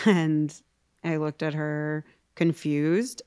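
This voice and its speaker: A woman in her thirties, a podcast guest, telling her own story in a reflective, halting way with small laughs. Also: low voice, fairly even intonation, darkish tone, clean tone, no room sound. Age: 30s. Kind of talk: candid personal storytelling.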